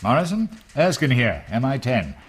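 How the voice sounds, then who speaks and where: A composed British brigadier in a radio drama. He speaks formally and carefully at a middle pitch, with faint noise behind. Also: huge pitch swings, moderate pace. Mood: measured. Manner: clipped, formal, circumspect. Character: discreet, composed British wartime intelligence brigadier.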